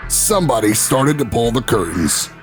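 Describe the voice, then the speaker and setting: An actor playing a brash male talk show host, narrating his own investigation in an audio drama with a folksy, swaggering manner and dramatic pauses. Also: medium pitch, big pitch swings, faint music behind, lightly acted. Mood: conspiratorial. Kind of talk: scripted first-person narration in an audio drama.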